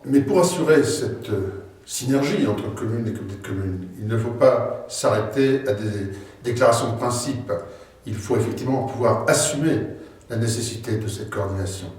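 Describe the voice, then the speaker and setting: A middle-aged or older man gives a formal, deliberate campaign address as a local politician, with hesitant pauses. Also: medium pitch, a little mellow. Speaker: local politician, incumbent mayor seeking re-election. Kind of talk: campaign address, semi-prepared speech. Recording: very echoey room, distant microphone.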